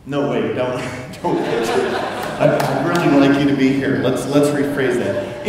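A middle-aged man preaching a sermon to his congregation in a relaxed, conversational way, with asides and restarts. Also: fairly high pitch. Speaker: pastor. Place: church sanctuary. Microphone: far camera, room sound. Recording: echoey church hall from far off.

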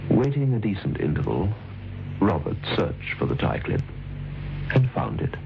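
An actor as a grave, suspenseful narrator of an old radio drama. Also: male voice, lowish voice, big pitch swings, moderate pace, creaky. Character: grave, suspenseful male storyteller. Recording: dull old radio recording, music behind.

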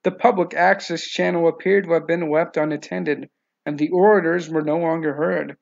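Male novel narrator, slightly high pitch with gravelly fry, reading from a script, lo-fi sound. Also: fairly even intonation, moderate pace.

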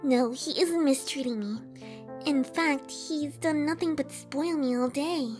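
Woman voicing a high-voiced, gentle young pony mare. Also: unhurried, faint music behind. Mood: reassuring. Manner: soft-hearted, self-doubting, hesitant. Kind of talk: animated fan drama acting.

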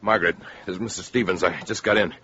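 An actor playing a self-serving, hot-headed American boyfriend in a 1950s radio drama, brash and insistent. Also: male voice, low voice, fairly even intonation, quick pace, noisy background, close to an everyday voice. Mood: brisk, excited. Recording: dull vintage 1950s radio recording.

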